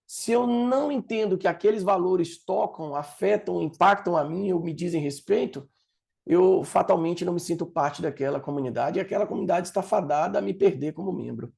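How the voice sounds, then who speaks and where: Gravelly, dark-voiced Bahian man presenting. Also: high voice. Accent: Bahian Brazilian Portuguese. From Salvador, Bahia, Brazil. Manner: professorial, explanatory, with filler "é" and "né". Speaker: project leader presenting at an event. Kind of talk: conference presentation.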